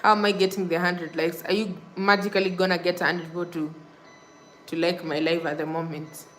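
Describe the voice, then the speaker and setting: A woman's voice: fairly low pitch, little pitch movement, brisk, a little hiss or hum. A young woman from Kenya hosting a casual livestream, reading viewer comments and repeating thanks and subscribe reminders. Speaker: livestream host. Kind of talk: casual live-stream chat with viewers.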